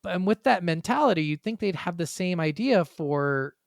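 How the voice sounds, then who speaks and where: A man's voice: high voice, animated, normal speed, gravelly, dry recording, studio quality. A man co-hosting a gaming podcast in a casual, blunt way, swearing freely as he chats. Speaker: podcast co-host.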